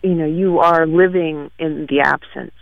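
A man talking about his work in a halting, thoughtful way, with many fillers, as a guest on an interview show, heard over a tinny line. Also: on the high side, fairly even intonation, vocal fry, no room sound. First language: French. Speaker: guest author. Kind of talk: casual interview chat. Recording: tinny phone-like radio line.

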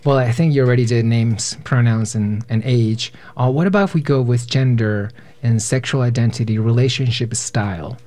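A man's voice: mid-range voice, normal speed, dark tone. Young man hosting live radio. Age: 20s. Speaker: radio host, panel moderator. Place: radio studio.

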